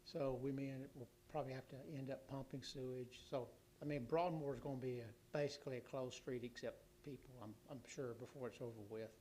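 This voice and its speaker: A hoarse man reports to a city council in a rambling, halting way, full of restarts and fillers. His voice sits in an ordinary middle register. A faint hum runs underneath. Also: mid-range voice, fairly even intonation, darkish tone, low-quality recording. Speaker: city official giving a utility report.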